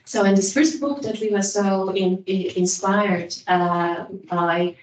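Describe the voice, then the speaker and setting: A woman hosting an academic lecture, giving an informal introduction in a halting way and often repeating words. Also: medium pitch, steady tone. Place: room at a hybrid academic event. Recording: echoey room, distant microphone.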